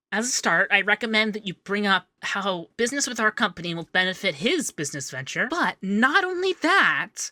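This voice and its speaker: A man playing a young, fussy, devoted femboy secretary in a scripted ASMR roleplay, chatty and expressive. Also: high-pitched, no room sound, full character voice. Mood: businesslike, upbeat. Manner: chatty, expressive, bashful asides. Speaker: voice actor in roleplay audio.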